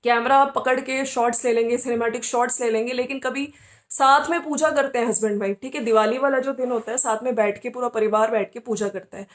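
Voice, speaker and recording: A woman's voice: fairly high pitch, steady tone, fairly quick. A woman giving casual opinion commentary as a host, talking conversationally and often checking for agreement. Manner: conversational, often seeking agreement with tag questions.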